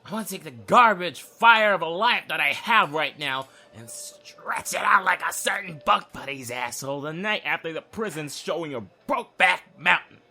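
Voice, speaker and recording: A man's voice: fairly high pitch, animated, normal speed, few pauses, sharp tone, exaggerated voice. A man playing a cocky, crude young prisoner in an audio drama sketch, with a brash, theatrical, sarcastic manner. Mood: smug, theatrical.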